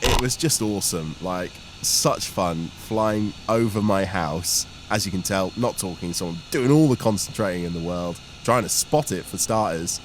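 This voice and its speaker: A man's voice: low voice, normal speed, few pauses. A man giving an informal, enthusiastic flying commentary as a student pilot vlogger. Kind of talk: vlog commentary on a flight. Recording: engine and aircraft noise behind the voice. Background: aircraft engine noise.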